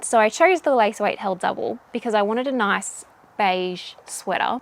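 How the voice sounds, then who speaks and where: A woman's voice: medium pitch, vocal fry. A woman with an Australian accent, chatting casually and bubbly about knitting as a vlogger, recorded outdoors on a camera mic. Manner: chatty and bubbly, laughs often. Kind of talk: casual chat. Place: outdoors in a garden.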